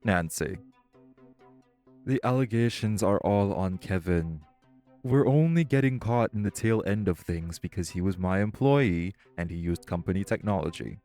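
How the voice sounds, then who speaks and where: A man playing a composed, self-assured tech company boss in an audio roleplay, speaking in a polished, measured, articulate way. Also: low-pitched, expressive, warm tone, soft background music. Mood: firm reassurance. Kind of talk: audio roleplay acting, one-sided dialogue.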